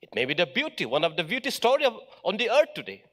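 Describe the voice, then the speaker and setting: Man, middle-aged, formal speech, emphatic short phrases, lively rising and falling voice, slightly high. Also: quick pace. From Meghalaya, India. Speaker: official speaker at an education event.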